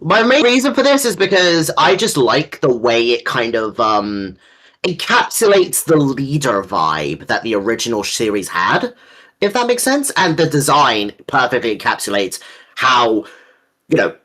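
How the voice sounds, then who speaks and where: Animated, slangy man in his early twenties. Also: medium pitch, unhurried. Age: early 20s. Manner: informal, slangy, opinionated. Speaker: co-host. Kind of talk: casual chat.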